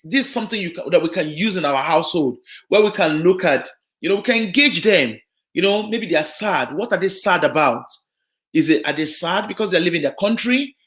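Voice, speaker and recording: A woman from Nigeria with a Yoruba accent, giving an earnest, explanatory live broadcast talk on social work, full of fillers and restarts. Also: fairly low pitch. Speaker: social work guest speaker.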